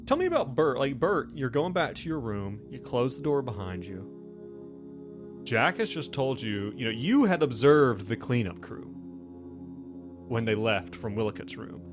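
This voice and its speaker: A man's voice: mid-range voice, big pitch swings, measured pace. A man acting as game master, casually narrating scenes and prompting his players with questions. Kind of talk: casual tabletop roleplay narration. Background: instrumental music bed.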